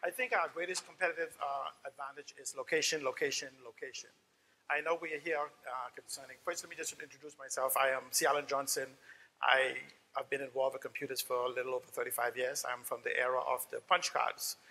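Older Bahamian man on a panel; fast and flat, with a husky edge, in an echoing hall. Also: medium pitch. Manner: quick, flat, confident delivery. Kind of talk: panel presentation. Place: conference hall stage.

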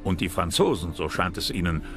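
Low-voiced male documentary narrator. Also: big pitch swings, brisk. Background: music.

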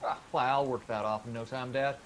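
An actor playing a cheerful, cocky young son in a radio drama, breezy and bantering. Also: male voice, mid-range voice, fairly even intonation, fairly quick, noisy background, lightly acted.

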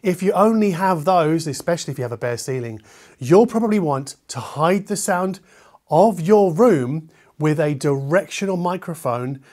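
A middle-aged man speaks to camera as the host of an audio channel. His voice sits a little higher than average for a man. He explains in a conversational, emphatic way, dropping into muttered asides, captured on a lavalier mic in a living room. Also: a little mellow. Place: treated living room indoors. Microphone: omnidirectional lavalier.

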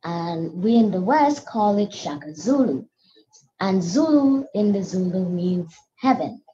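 Mellow female student presenter. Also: medium pitch, lively intonation, a little slow. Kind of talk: informal explanatory presentation. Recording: muffled, noisy online call.

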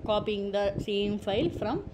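A woman presents an informal software walkthrough at a medium pitch. She explains step by step, restating each point as she goes. The recording has clearly audible noise behind her voice. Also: expressive, a little slow. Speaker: tutorial presenter. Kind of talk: informal screen-share software tutorial.

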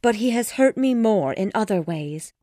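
A frightened young wife in falsetto. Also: male voice, lively intonation, moderate pace, mellow, dry recording, studio quality. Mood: bitter. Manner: high pleading falsetto.

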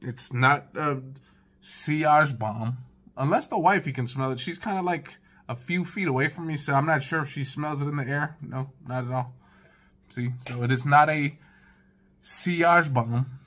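A man's voice: a little high, normal speed, fairly bright tone. A man in his thirties giving a casual, chatty first-impressions review of fragrances from his living room, talking straight to his viewers. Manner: laid-back, chatty, talks straight to viewers.

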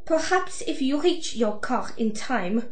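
A woman's voice: sits high, expressive, unhurried. Woman doing a posh-mannered evil doctor lady, gloating and polite, audio drama, echoey w faint noise. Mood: coolly menacing. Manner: formal, mock-polite, theatrical. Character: suave, menacing female doctor villain, coolly gloating mastermind. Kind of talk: amateur audio drama acting.

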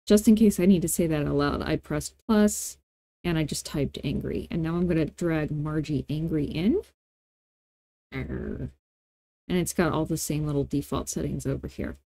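Female tutorial host, informal and playful, soft-edged mellow tone, dry close-miked sound. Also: sits low, sing-song, normal speed, creaky, no echo. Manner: informal, playful, thinking aloud. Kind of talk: casual screen-recorded software tutorial.

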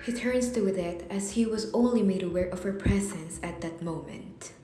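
A woman acting as the calm narrator of a scripted radio drama. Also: medium pitch, normal speed, lightly acted. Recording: echoey recording.

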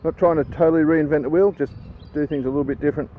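A man's voice: fairly high pitch, racing. A man, a hobby beekeeper talking casually with frequent ums as he works his hives in a backyard. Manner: relaxed, chatty, frequent ums while working. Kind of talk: casual outdoor how-to vlog. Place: backyard apiary outdoors. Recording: slightly dull outdoor camera audio with faint noise. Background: neighbour's lawnmower.

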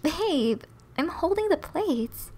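A woman playing a flirty, teasing, affectionate wife in an ASMR roleplay, soft and often breathy, with playful, intimate delivery. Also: very high-pitched, very animated, takes it slowly, background noise, pitched above the performer's own voice, heavily acted.

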